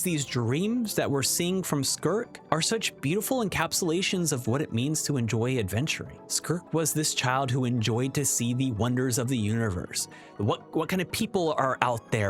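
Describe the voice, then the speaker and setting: A man giving enthusiastic video essay commentary as a review host, speaking in an excitable, emphatic and informal way. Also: fairly high pitch.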